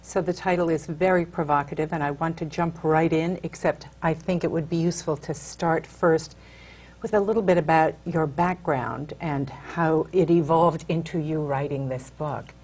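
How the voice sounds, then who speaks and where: A woman's voice: sits low, fairly even intonation, moderate pace, darkish tone, breathy edge. A woman hosting a TV interview, asking her guest follow-up questions in an engaged, encouraging way. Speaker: TV interview host. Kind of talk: hosted interview.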